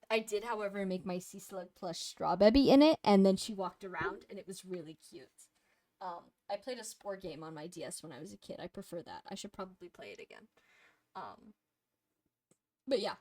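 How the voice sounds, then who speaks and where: A young woman talks casually through a game tier list, rambling and swearing freely. She sounds a little sniffly and hoarse, as if she is losing her voice. Her voice sits at a middle pitch and is recorded very dry. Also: expressive, brisk, a little mellow, no room sound. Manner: rambling and profane, with many fillers. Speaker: co-host reviewing games. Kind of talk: casual chat.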